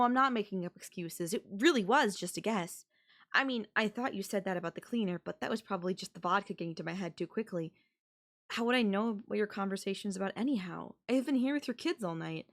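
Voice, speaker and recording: A woman performs a young female babysitter with an obsessive crush on her employer. Her mid-pitched voice is conversational yet pleading, defensive and possessive. It plays as intimate, anime-flavored audio roleplay addressed to one listener. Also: close to an everyday voice. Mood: evasive, casual.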